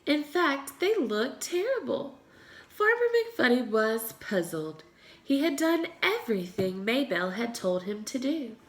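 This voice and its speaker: A woman reading a children's storybook aloud in an expressive way, as a teacher does for young children. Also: fairly high pitch, measured pace.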